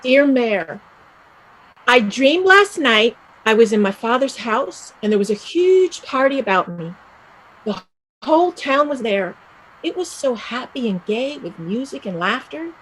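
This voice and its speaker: A woman playing a restless young Irish village woman set on emigrating, speaking emphatically and deliberately in a stage play reading. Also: a little high, big pitch swings, husky, full character voice, poor audio. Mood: earnest, hopeful.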